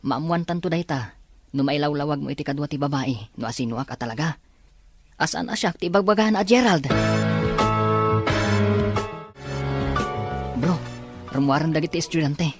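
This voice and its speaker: A woman voicing an earnest, lovelorn young male student in an audio drama, with a husky voice. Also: deep voice, brisk, faint music behind, natural voice. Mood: determined. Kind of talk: Filipino audio drama dialogue in Ilocano.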